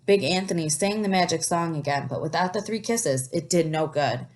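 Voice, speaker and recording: A woman reading a picture book aloud in an expressive storytelling style, stopping to put questions to young listeners and explain things. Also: lowish voice, moderate pace.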